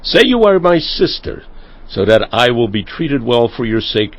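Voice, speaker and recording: A man's voice: mid-range voice, sing-song, normal speed, no echo, rough recording. An actor playing an anxious ancient patriarch pleading urgently with his wife in a dramatized audio scripture.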